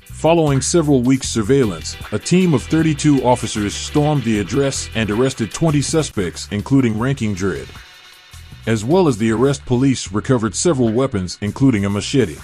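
Man, documentary narrator, measured steady read, mid-pitched voice, faint music underneath.

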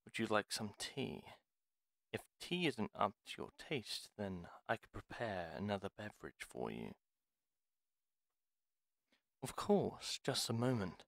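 A dry, close-sounding recording of a man acting a reluctant retired pharmacist from a fantasy audio drama. His voice sits on the low side and moves slowly. He is gruff and wary yet courteous, with formal, theatrical phrasing. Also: sing-song, fairly bright tone, no echo, exaggerated voice. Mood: calm, courteous. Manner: formal, deliberate, weary, theatrical. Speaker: voice actor in roleplay audio. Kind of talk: dramatic audio roleplay monologue.

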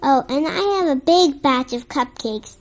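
Child reader, very high-pitched, unhurried, expressive picture-book delivery, music in the background. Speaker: storybook reader.

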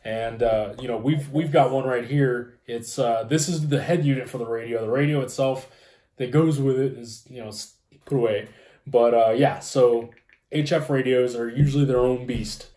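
Mellow-voiced man in an echoey room. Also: mid-range voice, steady tone, moderate pace. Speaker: co-host explaining ham radio. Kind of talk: casual explainer chat. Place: small room at home, indoors. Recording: roomy, echoey home room.

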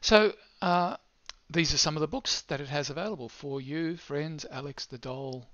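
An older man presenting an unscripted screencast walkthrough of educational software. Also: on the high side, moderate pace, no room sound. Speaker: presenter of an educational technology demo. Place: small indoor room. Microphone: headset. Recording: slightly dull, band-limited headset audio.

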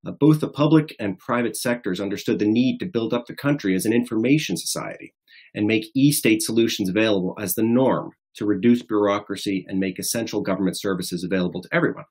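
An American man with a fairly low voice presents in a webinar. His delivery is polished and explanatory, like an official briefing an audience on his field. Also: fast talker, a little mellow, breathy edge. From Estonia and the United States. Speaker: government trade director, guest speaker.

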